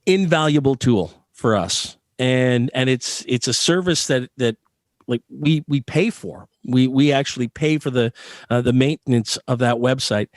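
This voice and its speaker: A man's voice: mid-range voice, measured pace, dry recording, clean studio sound. A Canadian man answering as a guest in a conversational interview, earnest and emphatic, repeating phrases for stress. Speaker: sports arena PA announcer being interviewed.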